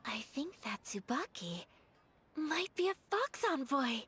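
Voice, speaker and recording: A young noblewoman from an anime game, shy, earnest and very courteous. The voice is high and gentle, with formal phrasing. A faint noise runs under the recording. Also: big pitch swings, takes it slowly, full character voice. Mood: intrigued.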